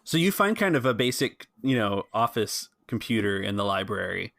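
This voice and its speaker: A man with a fairly low voice narrates a tabletop role-playing game as its host and game master. The sound is dry, with very little room in it. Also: sing-song, normal speed, gravelly, a bit bright, dry recording.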